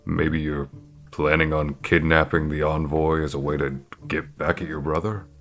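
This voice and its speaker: A man playing a solemn, reluctant earth deity in an ASMR fantasy roleplay, speaking in a measured, deadpan way. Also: extremely low pitch, soft background music. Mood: wary, puzzled.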